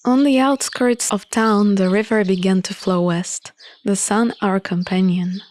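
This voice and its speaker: A woman reading a visual novel aloud as an expressive narrator of a playthrough. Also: medium pitch, a little slow, slight background noise.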